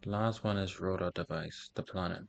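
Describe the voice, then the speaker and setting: Guy doing a game walkthrough, deep crackly voice, stumbles reading stuff out, faint music behind. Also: fairly even intonation, gravelly. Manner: halting, stumbles while reading aloud. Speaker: gaming streamer. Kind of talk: casual game walkthrough, reading on-screen text aloud.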